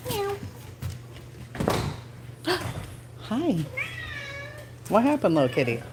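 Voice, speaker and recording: A woman with a Boston accent hosting a casual live chat at home, blunt and quick to swear, laughing often as she reacts to comments. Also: fairly high pitch, wildly swooping pitch, noisy background. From Boston area, USA. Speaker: channel host.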